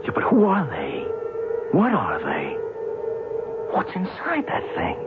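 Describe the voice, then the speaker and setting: A man's voice: a little high, huge pitch swings, slow pace, loud music behind the voice, exaggerated voice. An actor playing an earnest, lovestruck and bewildered man in a radio drama, emotional and incredulous. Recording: narrow-band old radio, loud noise.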